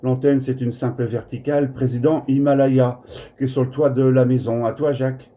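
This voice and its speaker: A man's voice: mid-range voice, little pitch movement, fairly quick, warm tone. A man chatting casually as an amateur radio operator, hesitating and repeating words. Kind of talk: casual radio contact chat. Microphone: smartphone recording in the room.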